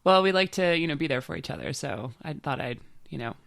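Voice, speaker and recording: A middle-aged woman co-hosting a podcast in casual, chatty talk, her voice stuffed up and nasal from a cold. There is a gravelly fry under her words. The sound is dry and close, with no room behind her. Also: low-pitched, lively intonation, fairly quick, a bit bright, no echo. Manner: chatty, enthusiastic, many fillers. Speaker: podcast co-host.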